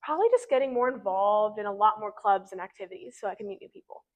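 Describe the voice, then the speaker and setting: A woman's voice: medium pitch, sing-song, quick pace. A teenage girl, a senior hosting a student show, reading upbeat scripted links. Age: teens.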